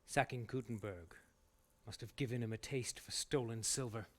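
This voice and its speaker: Man playing wary nobleman, grave, medium pitch, game cutscene drama. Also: moderate pace, close to an everyday voice.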